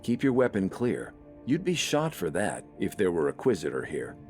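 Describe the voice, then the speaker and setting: A man voicing a steady, easygoing older village militia leader with a calm, wry authority in an audio story. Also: sits low, moderate pace, soft background music, voice pushed up, exaggerated voice. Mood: wry, admonishing.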